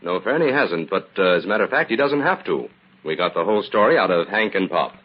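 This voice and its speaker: A self-assured detective from a vintage radio serial. He explains in a measured, lowish voice with lively intonation. The recording sounds dull and band-limited. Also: slightly rough. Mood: calm, assured. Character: self-assured amateur detective explaining a case, adult man. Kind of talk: 1940s radio acting.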